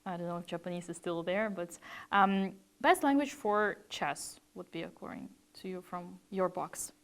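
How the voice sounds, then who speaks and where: A woman interviewer posing brisk, quick-fire questions to her guest. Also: fairly low pitch.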